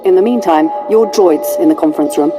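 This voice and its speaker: A brisk, dutiful female lieutenant from a video game, voiced by an actress. Her voice sits fairly low, with formal, clipped phrasing, over prominent music. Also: lightly acted. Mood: courteous, formal. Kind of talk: video game character acting.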